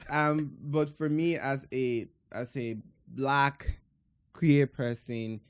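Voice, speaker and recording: A man with a Nigerian accent talks through his ideas in relaxed podcast conversation. His voice sits in an ordinary middle pitch and is recorded dry and close, with no room sound. He explains things reflectively and at length. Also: takes it slowly, clean tone, no echo. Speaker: podcast co-host. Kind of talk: casual chat.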